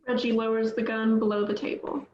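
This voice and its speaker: A woman reading stage directions aloud at a table read, steady and plain, with small slips. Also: fairly high pitch, fairly quick, a little hiss or hum. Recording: echoey, dull lo-fi audio.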